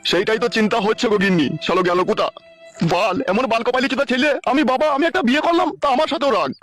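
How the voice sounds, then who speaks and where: A man voicing a grumpy, foul-mouthed older father-in-law in a comic cartoon dub, grumbling excitably. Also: very high-pitched, racing, faint music behind. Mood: irritated cursing.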